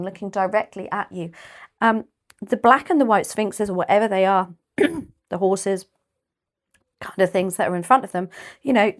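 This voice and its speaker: A woman with a British accent giving a casual tarot reading, chatty and rambling, her voice a little croaky and often clearing her throat. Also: mid-range voice. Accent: British English. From United Kingdom. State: slightly croaky voice. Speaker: tarot reader.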